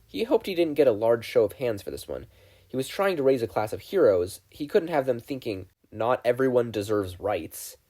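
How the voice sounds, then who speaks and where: A man reading a story aloud as a narrator, in an expressive scripted style. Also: mid-range voice, brisk. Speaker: fan fiction podfic reader.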